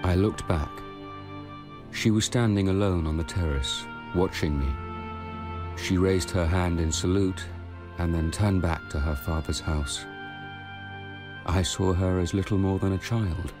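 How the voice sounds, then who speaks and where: An actor playing a determined, vengeful young nobleman in a radio drama, brooding and deliberate in manner. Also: sits low, music in the background. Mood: reflective. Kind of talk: radio drama acting with first-person narration.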